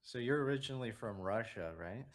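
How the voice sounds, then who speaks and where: A man's voice: medium pitch, expressive, brisk. A man hosting a casual stream chat, asking questions and rambling with lots of "like" and "right?". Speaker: stream host.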